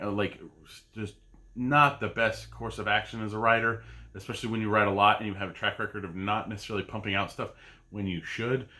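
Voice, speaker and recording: A man in his thirties talks casually about his comic pull list, wandering from thought to thought with plenty of ums and restarts. His voice has a bright, forward edge, and the setting sounds like a relaxed home recording. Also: medium pitch. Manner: rambling and chatty, with many fillers and restarts. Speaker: comic book podcast host. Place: home basement.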